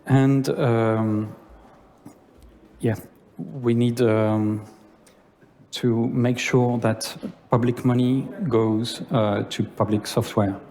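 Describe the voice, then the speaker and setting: Slow-talking Frenchman in a hall. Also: mid-range voice, fairly even intonation, dark tone. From France. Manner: hesitant, many filler sounds. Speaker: conference speaker. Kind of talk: conference talk. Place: lecture hall. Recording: long reverb of a hall.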